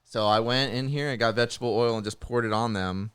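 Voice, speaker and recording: A man's voice: mid-range voice, quick pace, a bit bright, clear, resonant tone, no room sound. A man chatting informally on a podcast as a co-host, explaining things from his own experience. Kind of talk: casual chat.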